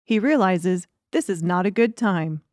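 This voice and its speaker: A woman's voice: lowish voice, animated, unhurried, a little mellow, dry recording, studio quality. A woman reading scripted story narration as an audiobook narrator.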